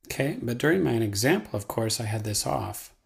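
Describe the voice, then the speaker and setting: Creaky-voiced man giving a casual tutorial. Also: mid-range voice, expressive, brisk, soft background music. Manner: folksy, playful. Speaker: software trainer.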